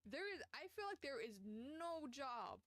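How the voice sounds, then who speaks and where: Young woman, game streamer, casual chatter, lots of fillers, laughs easily, highish voice. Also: very animated, measured pace, fairly bright tone, no room sound. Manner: rambling, self-conscious, laughs often, many fillers. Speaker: streamer playing a visual novel. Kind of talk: casual let's-play commentary.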